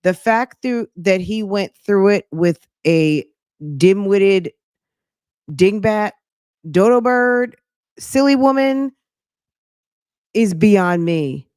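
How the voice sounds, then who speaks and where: Woman, casual reaction commentary, medium pitch, sassy, rhetorical questions, thinking out loud, very dry recording. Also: takes it slowly, long pauses, clean tone, no room sound. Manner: conversational, emphatic repetition, rhetorical questions. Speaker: host of a commentary show.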